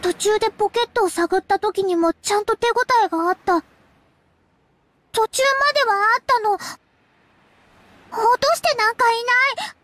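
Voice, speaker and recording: A woman voicing an innocent, lonely little girl in a Japanese visual novel, with a childlike, halting way of talking. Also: very high voice, a bit bright. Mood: worried, confused. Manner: childlike, halting, verbal tic. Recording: music behind the voice.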